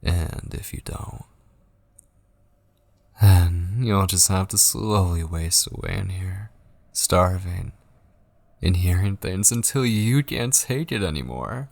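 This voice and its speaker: A man playing an obsessive, possessive kidnapper in an ASMR audio roleplay, seductive, menacing and pleading, coaxing in a gravelly, breathy voice that often slips into whispers. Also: low-pitched, expressive, slow, pauses often, a little mellow, no room sound, full character voice. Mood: taunting, menacing.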